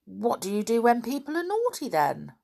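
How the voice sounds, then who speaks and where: A woman reading aloud and voicing a defiant, rude little schoolgirl who answers sulkily and shouts. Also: on the high side, huge pitch swings, moderate pace, vocal fry, pitched above the performer's own voice, full character voice. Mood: puzzled. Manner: sulky and shouting.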